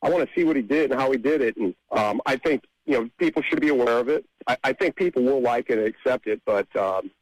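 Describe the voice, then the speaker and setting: A man's voice: medium pitch, fast, hardly any pauses, dry recording, poor audio. A man answering casually as a songwriter guest in an interview, often repeating and restarting his phrases.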